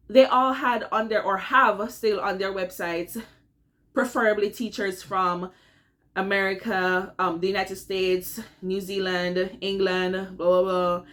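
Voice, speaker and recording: A young woman with a Jamaican accent talks straight to her viewers in a relaxed advice vlog. She keeps it chatty and personal, often checking in with them as she goes. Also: mid-range voice. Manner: chatty, addresses viewers as "guys", repeats "all right?". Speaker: vlogger giving career tips. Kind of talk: casual advice vlog to camera.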